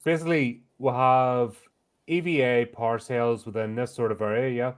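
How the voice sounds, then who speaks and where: A man's voice: medium pitch, fairly even intonation, normal speed, clear, resonant tone. A man playing a tabletop roleplaying game, casually planning and narrating his own character's actions.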